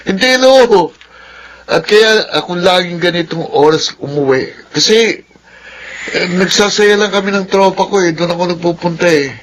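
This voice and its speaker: A man's voice: sits high, moderate pace, fairly bright tone, rough recording. A man acting a wayward, carefree young grandson in a radio drama, flippant and dismissive.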